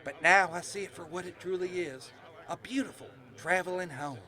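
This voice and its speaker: A man's voice: high-pitched, moderate pace, pushed and bright. A man playing an eager farm boy in a comic audio drama, speaking in grand, effusive, formal phrases. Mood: earnest, admiring. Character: eager, high-voiced farm boy, florid and earnest.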